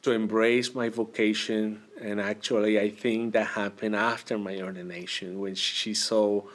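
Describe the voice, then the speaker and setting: A man from Argentina, a priest, telling his story in an interview, with many pauses and fillers. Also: lowish voice, flat delivery. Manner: hesitant, many fillers and restarts. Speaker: priest, guest.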